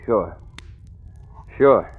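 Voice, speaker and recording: An American man playing an anxious fugitive in a 1940s radio thriller, confiding and nervous. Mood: nervous, pleading. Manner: nervous, halting when addressing others. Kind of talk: 1940s American radio thriller acting, first-person narration and dialogue. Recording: tinny old radio recording, music behind.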